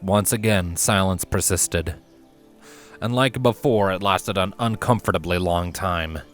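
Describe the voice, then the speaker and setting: A man reading a fiction story aloud as its narrator, in an expressive scripted style. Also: sits low, measured pace, faint music behind.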